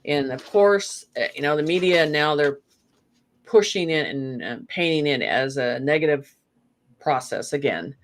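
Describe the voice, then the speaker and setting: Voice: medium pitch, animated, measured pace. A guest in a spiritual conversation, talking in a meandering way with frequent "you know" fillers.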